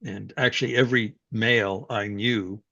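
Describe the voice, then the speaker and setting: An older man tells his life story in a low, reflective voice, pausing on fillers and restarting phrases as he goes. The recording is dry and a little dull, with no room around him. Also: fairly even intonation, gravelly, pushed and bright, no room sound. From New York City, USA. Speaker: guest speaker. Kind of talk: personal life story, conversational talk.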